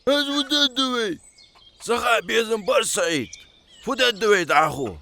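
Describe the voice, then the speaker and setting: Excitable cartoon male, played by a man: very high, creaky, swooping delivery. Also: normal speed, slight background noise, exaggerated voice. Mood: animated. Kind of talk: animated cartoon dubbing.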